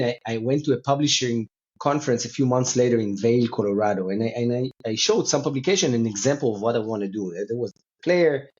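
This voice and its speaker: A man's voice: mid-range voice, a little mellow. A man speaking as a company founder being interviewed, answering conversationally with non-native English phrasing. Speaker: company co-founder and CEO, podcast guest. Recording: slightly dull, band-limited audio.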